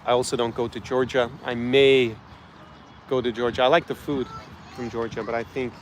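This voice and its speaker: A man's voice: medium pitch, level tone, normal speed, a little hiss or hum. Irish man talking outdoors, frank and rambling. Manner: rambling, frank, with frequent ums and restarts. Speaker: travel and lifestyle guide host. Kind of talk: casual talk to camera. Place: outdoors in a city street.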